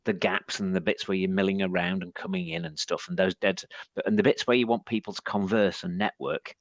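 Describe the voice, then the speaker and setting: A man from northern England with a deep husky voice, hoarse from shouting, talking as a guest on a podcast with many restarts. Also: steady tone, fairly quick, no echo. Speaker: podcast guest, agency head. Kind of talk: casual panel chat.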